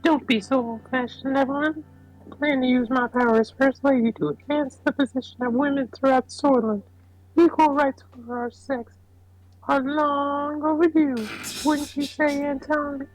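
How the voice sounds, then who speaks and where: Woman playing a high-pitched, poised First Lady. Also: steady tone, normal speed, faint music behind, natural voice, rough recording. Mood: poised, assertive. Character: poised, forward-thinking First Lady, gently assertive.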